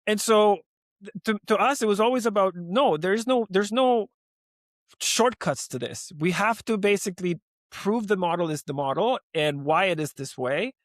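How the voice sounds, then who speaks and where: Man in his 40s from venezuela, talks fast and emphatic, trips over himself, dry close sound. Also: high voice, dry recording. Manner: animated, emphatic, many restarts. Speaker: guest, lending company founder. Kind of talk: podcast interview.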